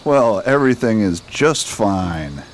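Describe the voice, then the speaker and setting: A man playing a shrewd, secretive detective in a radio drama, brisk and authoritative. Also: lowish voice, very animated, a little hiss or hum. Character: shrewd, confident male detective, secretive and commanding. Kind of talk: amateur radio drama acting.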